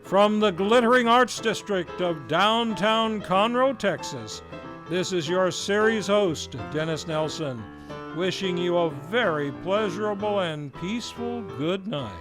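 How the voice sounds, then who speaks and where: Male series host, formal announcer read, a touch high, music bed behind. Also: animated, unhurried. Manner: polished, formal announcer delivery. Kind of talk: scripted closing credits announcement. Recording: music playing underneath.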